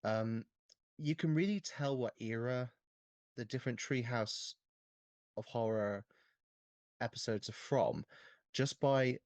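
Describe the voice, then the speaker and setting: Male podcast co-host, average pitch, thoughtful and halting in casual discussion, very dry close sound. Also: a little mellow, no room sound. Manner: hesitant, halting, thoughtful phrasing.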